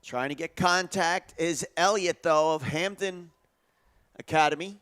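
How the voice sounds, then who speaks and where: Live track commentator: man with a higher-set voice, conversational delivery that builds with the race. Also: unhurried, fairly bright tone, clean tone.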